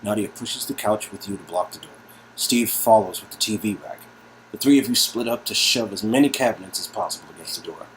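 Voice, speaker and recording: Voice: mid-range voice, fairly quick, a little hiss or hum, poor audio. A narrator reading an interactive story game aloud in a dramatic style, breaking into casual, joking asides.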